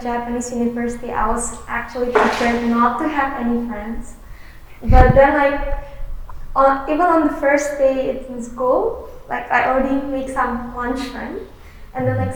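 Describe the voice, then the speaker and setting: A young woman from Indonesia, a university student, chatting in an upbeat way with "like" fillers in a group discussion. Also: sits high. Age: young adult. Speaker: university student, panel guest. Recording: echoey room, faint hiss.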